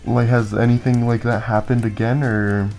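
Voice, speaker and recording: A man's voice: mid-range voice, level tone, moderate pace, creaky, darkish tone, faint music behind. A young man whose first languages were Spanish and Japanese, interviewing a friend in a casual, hesitant way with many fillers.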